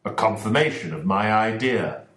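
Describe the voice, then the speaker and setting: A man reading an audiobook voices a calm, reserved detective in his own measured voice. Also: fairly low pitch. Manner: measured, grave. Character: calm older male detective, reserved and precise. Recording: roomy echo.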